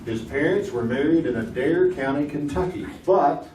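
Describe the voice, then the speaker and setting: Male quiz host actor, folksy joker, mid-pitched, old-time radio style, recorded from a distance with clear background noise. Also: expressive, moderate pace, lightly acted. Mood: genial, teasing. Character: genial, joking male quiz show host. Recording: roomy, distant, noisy stage recording.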